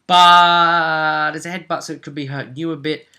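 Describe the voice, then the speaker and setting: A man's voice: on the high side, little pitch movement, normal speed, bright, forceful tone. A man in casual conversation, recapping a tabletop roleplaying session as game master and explaining things chattily, thinking aloud.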